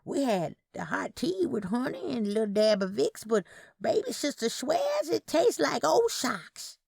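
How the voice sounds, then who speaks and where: Casting: a woman as fussy little old Southern lady for audio fiction, folksy chatterbox, high and very lively in pitch. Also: measured pace, vocal fry, slightly rough, dry recording, clean studio sound, full character voice. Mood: chatty. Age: elderly. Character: chatty, fretful tiny elderly Southern twin sister. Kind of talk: audio fiction character dialogue.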